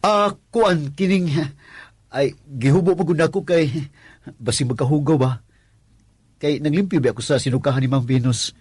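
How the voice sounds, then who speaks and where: A man's voice: a little high, normal speed, darkish tone, slightly rough, dry recording. A man acting a nervous, lustful young security guard in a radio drama, stammering and exclaiming with a Cebuano accent.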